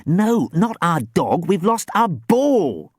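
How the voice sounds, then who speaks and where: A man voicing a bossy, excitable young girl in a children's story, breathless and emphatic. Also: very high-pitched, normal speed, no echo, pitched above the performer's own voice, heavily acted. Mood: insistent.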